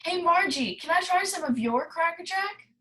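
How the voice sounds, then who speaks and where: A woman's voice: very high voice, animated, normal speed, pushed and bright, exaggerated voice. A woman playing an upbeat radio announcer in an old-style commercial break. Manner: upbeat sales patter.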